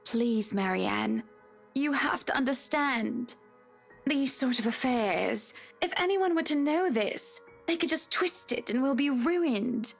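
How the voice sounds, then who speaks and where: A woman playing an anxious, secretive but strong-willed married woman in a video game, speaking politely in a measured way. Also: sits high, expressive, creaky, faint music behind, heavily acted. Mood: pleading. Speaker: voice actor in a visual novel. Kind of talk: video game dramatic acting.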